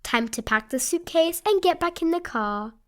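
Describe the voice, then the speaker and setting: A woman's voice: very high voice, animated, normal speed, background noise. A woman reading a storybook aloud expressively for small children. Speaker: storybook reader.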